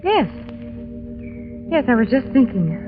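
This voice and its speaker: High-voiced witty lady companion. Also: big pitch swings, measured pace, music in the background. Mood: teasing, wry. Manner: arch, wry, genteel. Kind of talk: 1930s radio drama acting. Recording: muffled, band-limited old radio recording.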